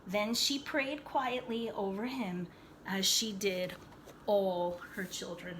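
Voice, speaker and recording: A woman's voice: medium pitch, fairly even intonation, takes it slowly, a bit bright, a little hiss or hum. A woman reading a storybook aloud to children expressively, with chatty, teacherly asides, questions and emphatic phrasing. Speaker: storybook read-aloud reader.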